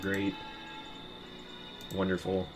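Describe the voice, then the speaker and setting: Man, lowish voice, bitter profane rant, rambling with restarts. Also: completely flat delivery, drawn-out words, music in the background. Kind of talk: casual rant to camera.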